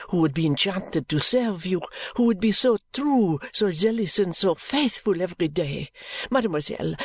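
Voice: mid-range voice, slightly rough, no echo. A narrator voicing a fervent, courtly Frenchwoman with a French accent, earnest and pressing in an audiobook story. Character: fervent, intense Frenchwoman seeking work as a lady's maid.

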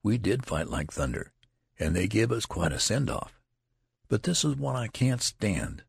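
An indignant young soldier acted by a man narrating a story grumbles and brags in rough country grammar. The voice is pushed higher, lively in pitch and a bit husky. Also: a little slow, no echo, studio quality, heavily acted. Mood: boastful, indignant. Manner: grumbling, rustic ungrammatical talk. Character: aggrieved young male soldier, indignant and boastful.

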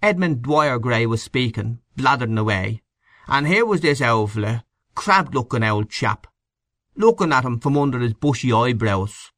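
A man's voice: mid-range voice, lively intonation, normal speed, fairly bright tone, no room sound, studio quality, voice pushed up, close to an everyday voice. A man voicing a blustering, opinionated Irish husband in an audiobook, with a blunt manner. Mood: reminiscing. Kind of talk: audiobook character acting.

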